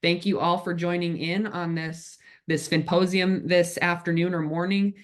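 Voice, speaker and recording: A man's voice: high voice, level tone, normal speed, clear, resonant tone. A man hosting an online Q&A over a video call, effusive and full of thanks and praise for his guest. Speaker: host, moderator. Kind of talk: moderating a webinar Q&A.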